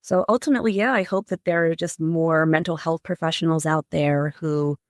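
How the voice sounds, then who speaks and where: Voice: mid-range voice, steady tone, a little fast, dry recording, studio quality. A middle-aged psychotherapist and professor answering interview questions earnestly, often restarting words and adding "like" and "right?". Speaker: psychotherapist and professor, podcast guest.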